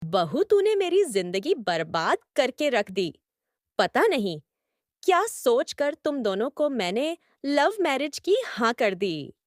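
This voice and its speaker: A woman acts a complaining cartoon mother-in-law. Her voice is very high and swings widely, though she draws the words out slowly. She sounds nagging and sarcastic. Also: very animated, dry recording, clean studio sound. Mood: bitter complaint. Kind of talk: cartoon voice acting.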